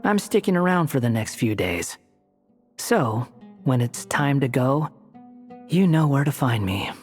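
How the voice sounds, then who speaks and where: A man's voice: mid-range voice, very animated, measured pace, soft background music. A man voicing a proud, sardonic young wanderer in a video game, with a cool, aloof manner. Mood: offhand. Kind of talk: video game character acting.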